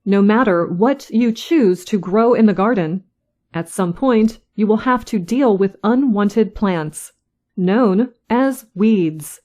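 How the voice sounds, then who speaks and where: Slow-reading woman news reader. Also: medium pitch, a little mellow. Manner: slow, careful, clear delivery. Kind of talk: slow scripted reading for learners.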